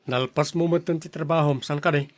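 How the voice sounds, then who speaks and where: A man's voice: fairly high pitch, steady tone, normal speed, dry recording, lightly acted. A man with an Ilocano accent acting a stern, blustery older brother who turns smitten suitor in a radio drama. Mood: bargaining. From Philippines.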